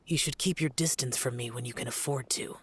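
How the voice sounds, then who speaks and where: A man's voice: medium pitch, fairly quick, very noisy, close to an everyday voice. A man voicing a stern, aloof young immortal demon slayer in a video game, speaking tersely and solemnly. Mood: stern, brooding. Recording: faint music behind.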